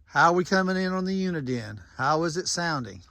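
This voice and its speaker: A man's voice: on the high side, animated, fairly quick, gravelly, sharp tone, no echo. A man giving casual, plain-spoken running commentary and making radio check calls in a folksy way. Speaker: vlogger testing walkie-talkies.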